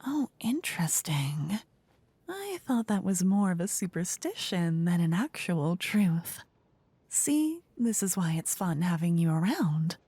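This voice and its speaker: A woman voicing a flippant, gleeful queen in a soft-spoken fantasy ASMR roleplay, playful and condescending in a theatrical way. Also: lowish voice, big pitch swings, measured pace, slightly rough, no room sound, full character voice. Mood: playful, commanding. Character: gleeful, flippant queen presiding over her fantasy court.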